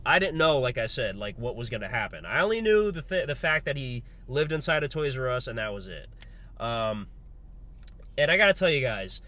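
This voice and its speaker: A man's voice: medium pitch, a little hiss or hum. Congested man chatting a movie review. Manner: relaxed, rambling, lots of fillers. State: congested, under the weather. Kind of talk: casual spoken movie review.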